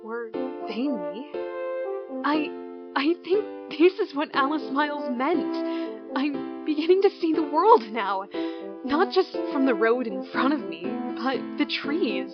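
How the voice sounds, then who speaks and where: A bored small-town teenage girl, played by a young woman, muses slowly in this amateur radio drama. Her voice sits a little high and drifts along unhurried. The lo-fi recording carries steady music underneath. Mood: wondering. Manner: slow, wistful, turning wondering. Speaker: lead character and narrator.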